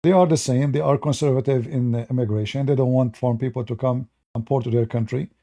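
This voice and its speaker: A man speaks as a with a mellow, relaxed tone. He delivers rambling, mocking political commentary straight to his viewers and often restarts his sentences. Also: medium pitch, fast.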